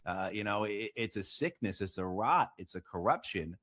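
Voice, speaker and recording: A man with an American accent and a fairly low voice speaks as a guest commentator. He talks conversationally about politics, pausing and restating for emphasis. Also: lively intonation, fairly bright tone. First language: English. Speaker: interview guest.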